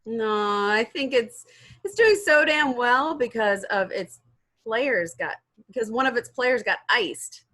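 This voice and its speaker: A woman's voice: on the high side, animated, takes it slowly, fairly bright tone, full character voice. A woman playing an outspoken young film actress in an amateur table reading of a film script. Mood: frank, worried.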